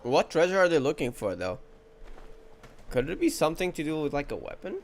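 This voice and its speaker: A man's voice: on the high side, big pitch swings, a little fast, gravelly. A man giving casual running commentary as he plays through a video game. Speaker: gamer commentating on playthrough. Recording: faint game music behind.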